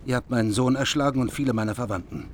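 A man's voice: medium pitch, racing, a little hiss or hum, close to an everyday voice. An actor playing a grieving, unforgiving king who speaks sternly in a radio play. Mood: grim. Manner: stern, grim, pressing.

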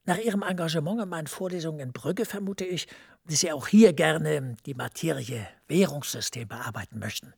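An actor playing a scheming professor in a radio drama, speaking in a hushed, confiding way that often drops to a whisper. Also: male voice, on the high side, fairly quick, creaky, slightly rough. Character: scheming male professor, smooth-talking superior.

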